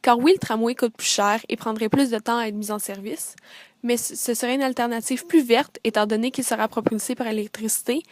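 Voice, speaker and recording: A woman reading scripted documentary narration in a clear voice. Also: a little high, fairly even intonation, brisk.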